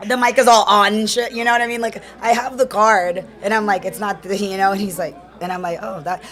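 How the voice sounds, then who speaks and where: A woman with a raspy, strained voice, a ring announcer chatting casually on a podcast. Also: medium pitch, fairly quick. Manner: lively, laughs often. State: strained, worn voice. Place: room in a club, after a show.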